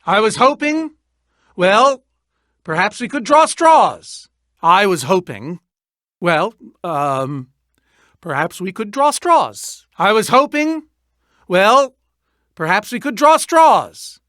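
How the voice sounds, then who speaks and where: A man's voice: sits high, very animated, takes it slowly, long pauses, pushed and bright, dry recording, studio quality, exaggerated voice. A man voicing an eccentric, boastful yet nervous inventor and adventurer in a video game, theatrical and wordy with hesitant asides. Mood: anxious, dramatic. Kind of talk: video game character voice acting.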